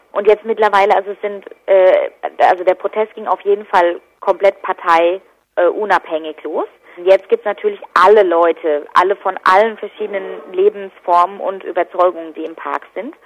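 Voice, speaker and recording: A woman giving spontaneous interview answers as an activist. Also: fairly low pitch. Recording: dull, lo-fi telephone line.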